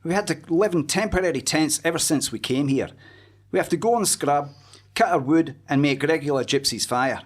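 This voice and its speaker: A man playing a weary emigrant stuck in a quarantine camp, telling of its hardships in a plaintive, letter-like way. His voice is fairly high for a man. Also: lively intonation, moderate pace. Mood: complaining. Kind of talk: amateur radio play acting.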